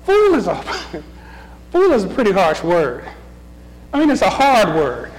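Middle-aged man, high bouncy voice, runs a Bible class with questions and chuckles, long room reverb. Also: wildly swooping pitch, a little slow, a little hiss or hum. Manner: questioning, chuckling, restarts often. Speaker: Bible study leader. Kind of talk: leading a group Bible discussion.

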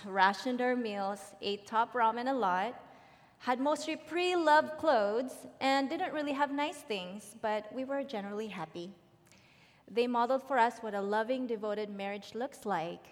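A middle-aged woman from the Philippines, giving a prepared personal testimony in a large church hall. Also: fairly high pitch. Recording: long hall reverb.

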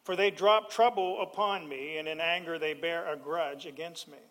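High-pitched pastor guy, middle-aged, bright voice, cracking jokes in his sermon. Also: moderate pace, rough recording. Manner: animated preaching with humor.

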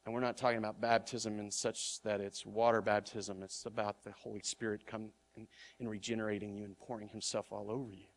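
A man's voice: on the low side, level tone, fairly quick. A middle-aged male pastor preaching a sermon in an earnest, conversational way, often restarting his words. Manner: earnest, conversational preaching with repeated word starts.

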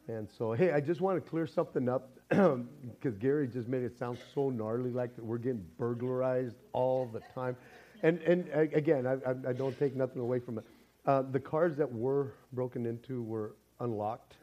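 Hoarse raspy guy preaching, rambles and jokes around, dull-ish recording. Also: mid-range voice, mellow. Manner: rambling, joking, self-interrupting. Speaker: pastor. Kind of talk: casual sermon with jokes. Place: church sanctuary.

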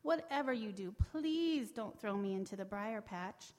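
A woman voicing a boastful, quick-tempered male rabbit in a high, loud voice. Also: animated, long reverb tail, lightly acted. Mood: pleading. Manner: loud, indignant, high-pitched.

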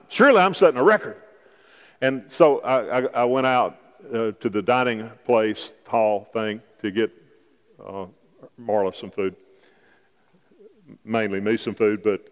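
A man pastor preaching a sermon, telling personal stories in a conversational way and rising to emphatic calls to his church. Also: mid-range voice. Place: church sanctuary. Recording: long hall reverb from a church sanctuary.

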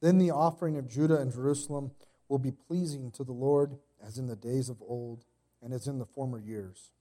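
A man in his thirties preaching a casual sermon while sick with a cold, his voice deeper than usual, with a slight cough and many fillers and restarts. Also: fairly quick, warm tone. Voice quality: extra deep voice today. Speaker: pastor. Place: church.

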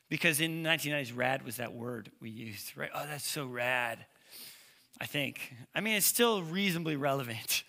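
Bright-voiced man preaching casually, slight lisp. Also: on the high side. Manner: informal, slangy storytelling with quoted asides. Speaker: preacher, youth ministry leader. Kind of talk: casual conversational sermon.

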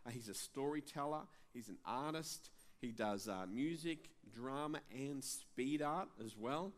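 A man serving as pastor introduces a guest speaker to his church. He speaks earnestly, pausing on fillers now and then. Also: medium pitch.

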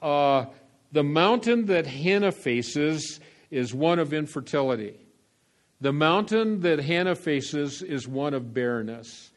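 An older male pastor delivering a folksy sermon, full of fillers and asides, swinging between quiet remarks and loud calls to the congregation. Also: fairly high pitch, expressive, slow. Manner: folksy, meandering preaching with frequent fillers.

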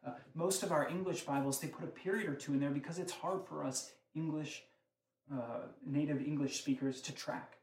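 A man preaches to his congregation in a relaxed, conversational teaching style, often checking in with rhetorical questions. His voice sits a little high for a man. The sound is roomy and echoey, with faint background noise. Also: normal speed. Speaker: pastor. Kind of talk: sermon. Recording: echoey room.

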